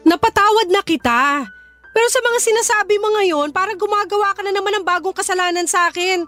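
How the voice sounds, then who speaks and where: A woman's voice: very high-pitched, brisk, fairly bright tone. A woman playing a hurt, indignant young woman in a radio drama, scolding and emphatic, with a Filipino accent. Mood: firm, exasperated. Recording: music behind the voice.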